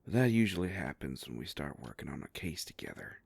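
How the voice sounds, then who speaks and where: A man playing a sardonic, grumbling werewolf private investigator with a soft heart, in an audio roleplay drama. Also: sing-song, fairly quick, no echo, heavily acted. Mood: wry, reassuring. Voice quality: low gravelly voice.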